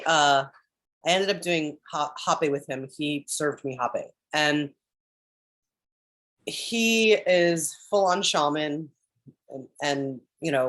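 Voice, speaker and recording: A woman with a deep voice telling a rambling story on a video call from home, with many restarts and throat clearing. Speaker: spiritual group member sharing updates. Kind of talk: casual chat on a group call. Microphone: computer on a video call.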